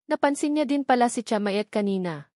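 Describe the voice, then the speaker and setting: A woman's voice: a little high, lively intonation, fairly quick, a little mellow, no room sound, studio quality. A woman reading scripted first-person novel narration as an audiobook narrator.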